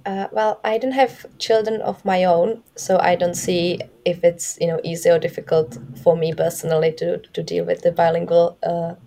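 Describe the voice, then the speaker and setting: A woman who teaches at a school, answering interview questions in a measured, thoughtful way. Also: fairly low pitch, level tone, vocal fry, dark tone. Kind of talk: interview conversation.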